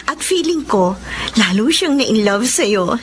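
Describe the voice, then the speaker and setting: A bubbly, teasing young woman gives her friend advice in an old radio drama. Her voice is high and it bounces around as she talks. A faint hum sits behind her. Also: slow. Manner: chatty, teasing, animated. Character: Miriam, lively loyal woman best friend. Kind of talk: classic radio drama acting.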